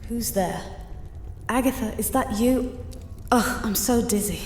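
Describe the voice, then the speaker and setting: An indignant, imperious high priestess from a fantasy audio drama, acted by a woman. Her theatrical, defensive voice is somewhat high and echoes around a chamber over music. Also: a little slow, a little mellow. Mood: dazed. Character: haughty, indignant high priestess, a fake oracle. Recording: echoing chamber reverb, music behind.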